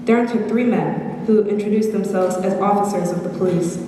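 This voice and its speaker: A woman's voice: mid-range voice, brisk, mellow, lightly acted. Calculating murderer played by a young woman, cavernous echo. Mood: cool, pleased. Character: calculating, self-satisfied murderer insisting on sanity. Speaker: high school drama student. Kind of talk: theatrical dramatic monologue. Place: school stage performance, far mic. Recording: very echoey hall from far off, noisy.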